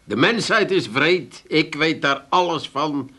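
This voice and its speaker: An actor playing a provoking, slow-witted barfly brother-in-law with an Amsterdam accent in a comic radio drama. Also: male voice, a little high, lively intonation, sharp tone, full character voice. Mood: needling. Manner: provoking, droll, literal-minded. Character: needling, dim, gullible male brother-in-law barfly.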